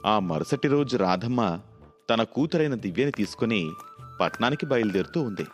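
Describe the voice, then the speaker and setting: A man's voice: medium pitch, lively intonation, moderate pace, faint music behind. A man working as narrator, reading a scripted moral tale.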